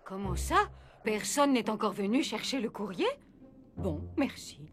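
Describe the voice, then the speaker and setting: An actress playing a fretful, businesslike Christmas elf in a cartoon, fussy and insistent. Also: female voice, medium pitch, animated, unhurried, soft background music, close to an everyday voice. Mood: worried. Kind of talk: animated cartoon acting in French.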